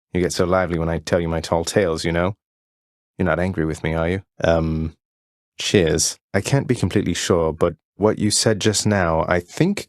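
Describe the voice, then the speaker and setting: A low, close-up voice with no room sound, belonging to a rebellious young prince of the underworld as a man acts him out in a video game. He is politely sardonic and understated, delivering his lines with dry wit. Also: no echo, natural voice. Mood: awkward, wry.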